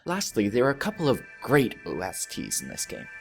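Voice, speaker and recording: A man narrating a scripted review in a wry, deadpan-joking manner, pausing for emphasis. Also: medium pitch, gravelly, soft background music. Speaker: video reviewer.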